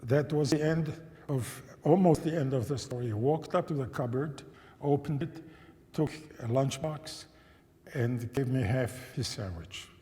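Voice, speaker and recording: A man in his nineties, a native German and Czech speaker, recounting a personal memory to an audience in a thoughtful, measured way, often restarting phrases and asking listeners questions. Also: mid-range voice, warm tone. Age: 90s. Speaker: guest speaker. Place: church sanctuary. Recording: reverberant church hall.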